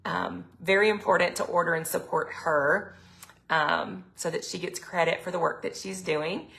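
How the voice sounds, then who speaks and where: A middle-aged woman, chatting casually on a live sales stream, her throat a little raspy from allergies. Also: on the low side, steady tone, moderate pace, a bit bright. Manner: chatty, lots of ums, reads viewer comments aloud. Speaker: product consultant hosting a live sales stream.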